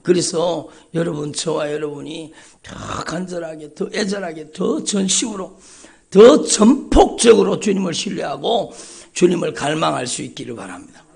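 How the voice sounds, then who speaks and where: A man's voice: fairly high pitch, lively intonation, moderate pace, vocal fry, a little mellow. A male pastor preaching an emphatic, repetitive sermon at a dawn church service, calling out often to the congregation. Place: church sanctuary.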